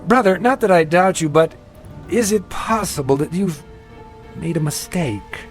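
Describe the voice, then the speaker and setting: An actor playing a polite, educated young gentleman in a radio drama, hesitant and questioning in his delivery. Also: high-pitched, lively intonation, measured pace. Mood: doubtful. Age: young adult. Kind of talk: classic radio drama acting. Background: music.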